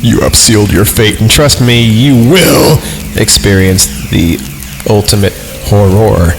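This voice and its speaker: A man's voice: fairly low pitch, a little slow, vocal fry, noisy background. A man reading horror stories on a podcast, breaking off into irreverent, slangy, sweary joking commentary. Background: music.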